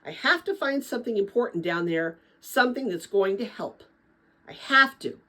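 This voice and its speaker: Storybook boy, played by a woman: young, earnest, intense and determined, animated pitch, slightly raspy. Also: normal speed.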